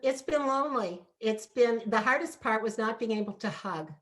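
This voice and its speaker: A woman's voice: a little high, expressive, normal speed. A woman of seventy-nine speaking in a heartfelt, conversational way in an interview.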